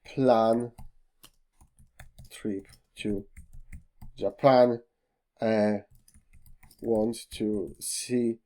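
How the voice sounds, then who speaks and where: Slow, hesitant man demoing software. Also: fairly low pitch, fairly even intonation. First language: Polish. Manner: hesitant, many fillers, non-native phrasing. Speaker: tech tutorial host. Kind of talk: screen-recorded software walkthrough.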